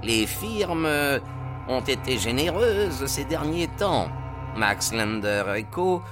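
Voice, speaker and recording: A man reading aloud, voicing a lodge treasurer who reports in a measured way. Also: mid-range voice, sing-song, over music, voice pushed up. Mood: satisfied. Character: male lodge treasurer with ledger.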